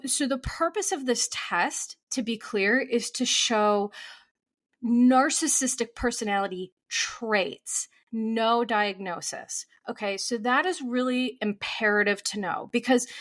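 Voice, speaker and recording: Chatty woman therapist with a cold. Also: a little high. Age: 40s. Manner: animated, conversational, frequent fillers and restarts. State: slightly congested with a cold. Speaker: therapist and podcast host. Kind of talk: solo podcast commentary.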